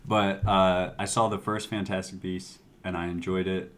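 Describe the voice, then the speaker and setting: Very low-voiced understated man, slight noise. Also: little pitch movement, normal speed, fairly bright tone. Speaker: podcast co-host. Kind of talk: casual movie discussion.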